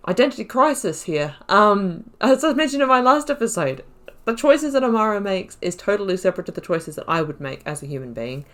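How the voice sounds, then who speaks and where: A woman hosting a game playthrough, thinking aloud in casual, expressive commentary with emphatic repetition. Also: mid-range voice, fairly quick. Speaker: gaming video host.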